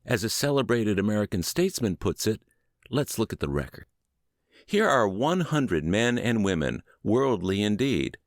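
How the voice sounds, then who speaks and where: A man reading a book aloud as a scripted audiobook narration, with measured, emphatic and deliberate phrasing. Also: lowish voice, no echo, clean studio sound. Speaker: audiobook narrator and podcast host.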